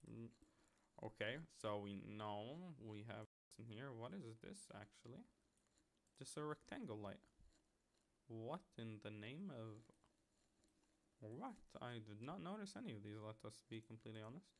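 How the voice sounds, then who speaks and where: A man's voice: fairly low pitch, lively intonation, normal speed, vocal fry. A man from Lebanon doing casual livestream commentary as he works, thinking aloud with playful little sound-words and muttered self-mockery. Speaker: streamer, game level developer.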